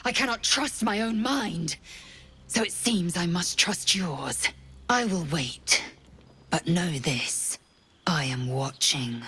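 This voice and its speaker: A harsh, distrustful alien warrior. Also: female voice, fairly low pitch, slow, sharp tone, husky, heavily acted. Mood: threatening. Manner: harsh, clipped and threatening. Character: fierce, distrustful female warrior from an alien race. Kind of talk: video game voice acting.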